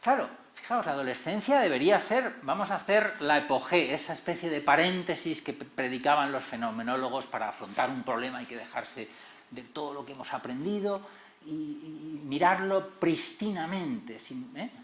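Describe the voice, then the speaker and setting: A middle-aged man with a Madrid Spanish accent giving an expressive public lecture, stressing key words as series moderator. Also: on the high side, moderate pace. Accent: Madrid Spanish, not very Canarian. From Tenerife, Canary Islands. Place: lecture hall. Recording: roomy echoey hall.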